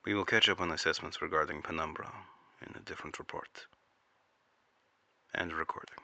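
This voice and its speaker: A man's voice: a bass voice, steady tone, brisk, vocal fry, sharp tone, no room sound, exaggerated voice. A man playing a serious, controlled agency interrogator taking and recording testimony in a clipped, official way in an audio drama. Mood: formal.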